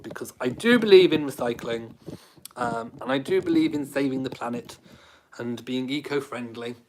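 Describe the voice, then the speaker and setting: A man's voice: mid-range voice, fairly bright tone. A man, hosting a live stream and reading out viewer comments in a cheeky, self-mocking way. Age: late 30s. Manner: cheeky, camp, self-mocking humour with frequent laughs. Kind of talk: casual live stream chat.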